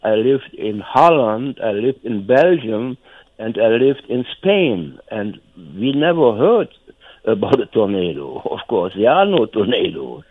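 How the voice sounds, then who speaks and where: Elderly man, German accent, rambling and repetitive, lowish pitch, dry narrow telephone sound. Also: big pitch swings, unhurried, dry recording. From North Rhine-Westphalia, Germany. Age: 70s or older. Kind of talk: casual reminiscence. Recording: tinny phone line.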